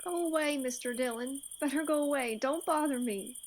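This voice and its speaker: Woman as a high-voiced American farm boy. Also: normal speed, slight background noise, close to an everyday voice. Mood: defiant. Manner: plaintive, earnest. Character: hurt, defiant ten-year-old frontier farm boy. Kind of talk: radio western acting.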